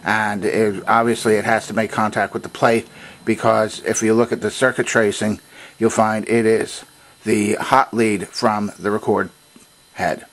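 A man presenting an unscripted hands-on technical demonstration in a halting, methodical, explanatory way. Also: fairly low pitch, fairly even intonation, fairly bright tone. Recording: noticeable background noise.

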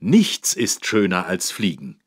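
A man's voice: low-pitched, big pitch swings, slow, noisy background, full character voice. A man playing a friendly, helpful policeman who explains things simply to small children in a German cartoon game. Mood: instructive. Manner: didactic, clearly phrased for small children. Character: friendly, helpful adult male policeman teaching children road safety.

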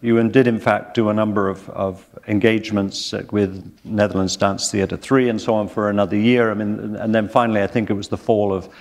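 A man's voice: lowish voice, little pitch movement, brisk, hardly any pauses. Older man interviewing onstage in a hall. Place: library event hall with audience. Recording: hall with long reverb.

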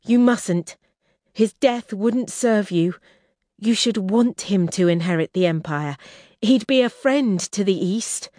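A woman voicing a cautious, sharp-minded young woman in an audiobook, with a guarded, questioning manner. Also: medium pitch, animated, a little slow, no echo, studio quality, voice pushed up, lightly acted. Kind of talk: audiobook character reading.

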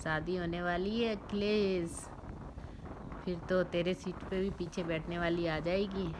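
A woman's voice: on the low side, steady tone, a little slow, background noise. A woman in her thirties chatting cheerfully to viewers in a casual travel vlog, filming with a phone at arm's length outdoors. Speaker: vlogger. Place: outdoors on a hill road. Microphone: phone held at arm's length.

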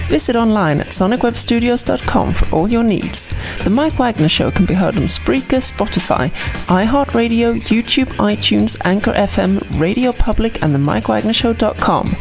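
A woman with a mid-pitched voice and plenty of vocal fry reads a scripted show intro. Music plays clearly behind her. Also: lively intonation, normal speed, darkish tone. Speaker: show announcer. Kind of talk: scripted podcast intro narration.

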